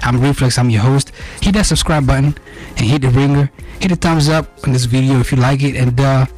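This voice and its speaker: A man from Cameroon hosting a podcast, asking questions in an energetic, casual way with slang. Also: medium pitch, a little fast, faint music behind. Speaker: podcast host. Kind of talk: podcast interview.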